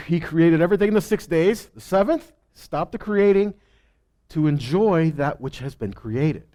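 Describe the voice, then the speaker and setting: A man with a high-pitched, very lively voice teaches scripture in an informal sermon. He speaks emphatically, swinging widely in pitch, and often puts short rhetorical questions to his listeners. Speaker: preacher and Torah teacher.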